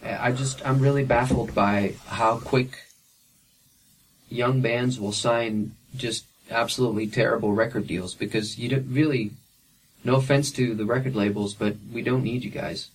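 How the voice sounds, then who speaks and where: A man's voice: medium pitch, steady tone, normal speed, husky, far from the mic, poor audio. A young man and independent musician answering interview questions frankly, with plenty of fillers and restarts.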